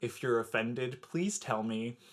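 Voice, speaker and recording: A man's voice: medium pitch, unhurried. Young guy from the netherlands, american-sounding english, chatty vlog talk full of ums and likes. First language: Dutch. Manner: chatty, many fillers and "like". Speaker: vlogger. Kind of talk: casual talk to camera.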